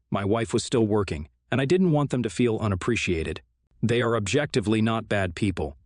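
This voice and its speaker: A man narrating online forum stories aloud in a steady, even-toned reading. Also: lowish voice, dry recording, studio quality.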